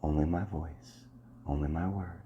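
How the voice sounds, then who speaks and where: A man giving a slow, soft and commanding hypnosis roleplay in the ASMR style, often dropping to a half-whisper. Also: deep voice, steady tone, breathy edge, highs cut off.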